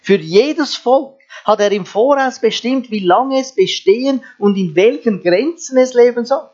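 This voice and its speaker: Man, pastor, high voice, earnest sermon with rhetorical questions, lo-fi recording with mains hum. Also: measured pace, a little mellow. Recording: dull lo-fi sound with mains hum.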